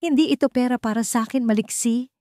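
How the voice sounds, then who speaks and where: A woman voicing a resolute young woman survivor in an audiobook story, speaking in a calm, steady, earnest way. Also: fairly high pitch, fast talker, no echo, clean studio sound, voice pushed up, natural voice. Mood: determined whisper. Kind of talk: dramatized audiobook dialogue in a storybook drama.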